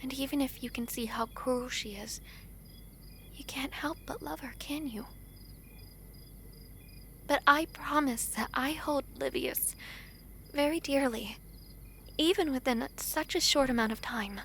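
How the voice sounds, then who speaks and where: A woman playing a gentle, earnest young princess in a romantic fantasy audio drama, speaking softly and formally. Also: high-pitched, slight background noise. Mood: earnest, tender. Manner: soft, formal, measured diction with tender pauses.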